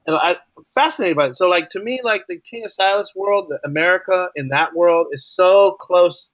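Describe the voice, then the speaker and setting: A man's voice: high-pitched, a little fast, fairly bright tone, no echo, lo-fi sound. A talkative man speaking casually and enthusiastically as a guest in an interview. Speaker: podcast guest, writer and podcaster.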